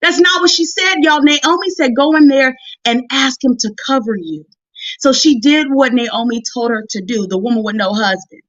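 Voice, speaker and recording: A woman preacher with a high-pitched voice and a dry, slightly dull recording. She delivers a motivational Bible message in a folksy, conversational way, addressing women directly. She leans on repeated phrases to drive each point home. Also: moderate pace, no echo. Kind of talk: motivational sermon on a live stream. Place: live video stream.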